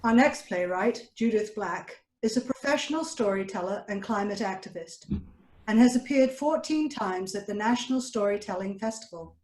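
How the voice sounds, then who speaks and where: A woman presenting a show, reading a scripted introduction in a measured, announcing manner. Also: fairly high pitch, darkish tone, roomy sound, low-quality recording.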